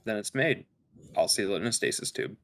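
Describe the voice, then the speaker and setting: A man playing a calm, detached spaceship science officer with a measured, clinical delivery in a sci-fi script table read. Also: lowish voice, close to an everyday voice. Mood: clinical, intent.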